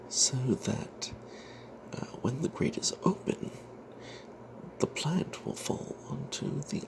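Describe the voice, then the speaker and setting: A man narrating a first-person fantasy story as ASMR in a breathy whisper, hushed and halting, with wry asides. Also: mid-range voice. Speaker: storyteller narrating own tabletop character. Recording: noticeable background noise.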